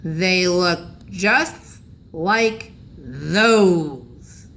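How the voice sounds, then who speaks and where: A woman voicing an aggrieved, agitated old grandfather with slow, toothless-sounding speech, reading a storybook. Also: fairly low pitch, animated, fairly bright tone, heavily acted. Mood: suspicious. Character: agitated, aggrieved old grandfather who lost his false teeth. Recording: clearly noisy room recording.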